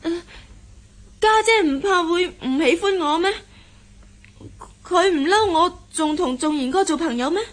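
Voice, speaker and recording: Radio drama ingénue: high, girlish voice, bold in love yet coy. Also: unhurried, long pauses. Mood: anxious, coy. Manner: coy, giggly, emotive. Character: bold, girlish young woman, eager and giggly in love. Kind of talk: Cantonese radio drama acting.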